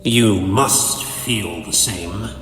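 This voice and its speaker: Cold supervillain, comic dub, very slow delivery, condescending, theatrical, echoey hall, faint music. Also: male voice, on the low side, fairly bright tone. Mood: cold, musing.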